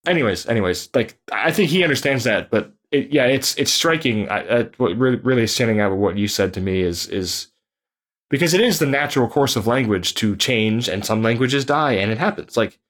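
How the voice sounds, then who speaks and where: A man's voice: mid-range voice, brisk. A man chatting casually on a podcast, rambling and correcting himself as he goes. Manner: rambling, self-correcting, lively. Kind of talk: casual chat.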